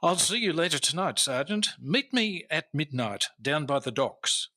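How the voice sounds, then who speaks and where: Man acting a lively, self-assured Victorian detective inspector. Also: fairly high pitch, normal speed, heavily acted. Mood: self-assured, storytelling. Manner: theatrical, self-assured, formal. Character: famous boastful Victorian-era detective inspector, master of disguise. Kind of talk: old-time radio drama acting, storytelling narration.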